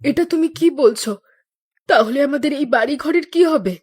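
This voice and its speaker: Woman as an older matriarch, fairly high voice, family-minded, anxious, cartoon drama. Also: normal speed, darkish tone, natural voice. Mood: alarmed. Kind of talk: Bengali moral cartoon voice acting.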